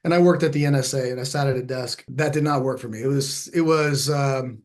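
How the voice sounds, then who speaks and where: A man in his forties from Texas, a podcast guest telling his story in a reflective, halting way, with many fillers and restarts. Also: medium pitch, a little mellow. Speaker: podcast guest, former special operations veteran.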